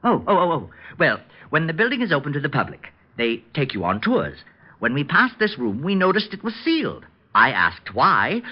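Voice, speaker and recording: Flighty, swooping eccentric fellow. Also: male voice, fairly high pitch, slightly rough. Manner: prissy, impudent, theatrical. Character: flighty, cheeky eccentric man who chatters and sings. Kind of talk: old-time radio comedy-drama acting. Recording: muffled old radio drama recording.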